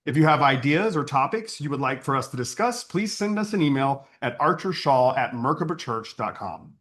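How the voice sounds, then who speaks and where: A man leading an online spiritual service, speaking in an earnest, measured, solemn way as he reads a prepared address. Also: mid-range voice, expressive, fairly bright tone.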